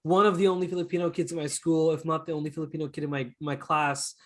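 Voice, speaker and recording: High-voiced chatty Canadian man. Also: little pitch movement, quick pace, fairly bright tone. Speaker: interview guest. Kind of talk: casual conversational storytelling.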